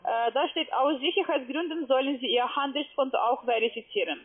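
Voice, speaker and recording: A man working as a scam caller on the phone, halting and insistent, with broken grammar. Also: extremely high pitch. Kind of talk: phone conversation. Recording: tinny phone line.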